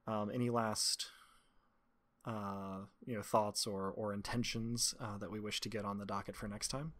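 A man's voice: fairly low pitch, steady tone, normal speed, fairly bright tone. A man narrating as game master and hosting a tabletop role-playing podcast.

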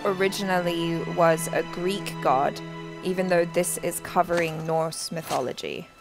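A woman's voice: on the low side, steady tone, unhurried. A young adult woman giving casual reaction commentary as a show host. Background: game soundtrack music.

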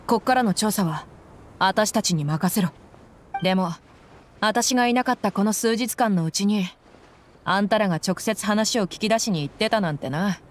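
A woman's voice: medium pitch, normal speed, a little hiss or hum, close to an everyday voice. A woman playing a confident, easygoing young female desert mercenary in an anime game, with blunt tomboyish speech. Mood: concerned, firm. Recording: music behind the voice.

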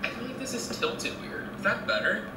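A man's voice: high-pitched, moderate pace, crowd noise. A nineteen-year-old man talking casually and sarcastically while driving, recorded in a car by a dashboard camera. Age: teens. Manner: chatty, sarcastic, animated. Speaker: vlogger. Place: inside a moving car. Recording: roomy car cabin, distant mic, loud road noise. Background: road noise.

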